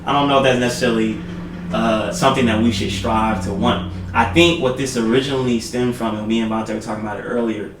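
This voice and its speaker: A man co-hosting a podcast, steering the casual discussion and laying out his view in a measured, thoughtful way. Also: mid-range voice, fairly even intonation, distant mic. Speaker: podcast co-host. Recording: roomy sound, off-mic, faint noise.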